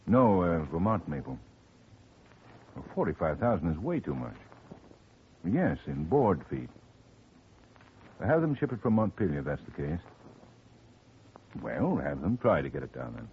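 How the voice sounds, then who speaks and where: An actor playing a busy, glib middle-aged lawyer, brisk and folksy, in 1950s radio style. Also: male voice, sits low, sing-song, full character voice. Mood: businesslike. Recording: muffled, noisy old radio recording.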